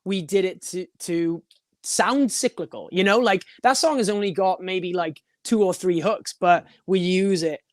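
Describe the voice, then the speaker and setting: Young guy, high voice, rambly musician chatting, dry close sound. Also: measured pace, no echo. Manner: rambling, many restarts and fillers, laughs often. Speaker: guest, band frontman and vocalist. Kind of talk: casual podcast interview.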